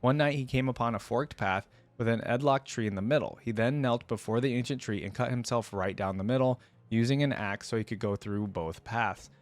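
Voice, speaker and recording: A man's voice: mid-range voice, brisk, few pauses. Casual man reading and reacting. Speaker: reaction video host. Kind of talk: reading lore aloud with casual commentary.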